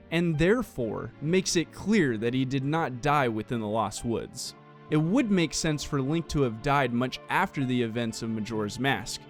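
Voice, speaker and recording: A man narrating a scripted, analytical video game theory explainer. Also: a little high. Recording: music playing behind the voice. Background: background music.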